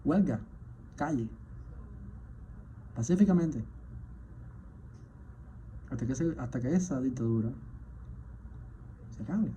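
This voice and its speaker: A man, a physician, delivering an impassioned spoken message, indignant and firing off rhetorical questions, at times surging louder. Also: mid-range voice, animated, slow pace, pauses often. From Cuba. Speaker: physician, rheumatologist. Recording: dull lo-fi sound with faint background noise.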